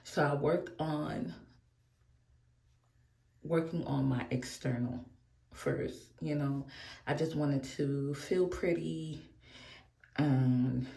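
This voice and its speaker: Woman, 40s, very low voice, candid rambling makeup chat, echoey room. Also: darkish tone. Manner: candid, rambling, laughs often. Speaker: beauty vlogger. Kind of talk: casual get-ready-with-me chat.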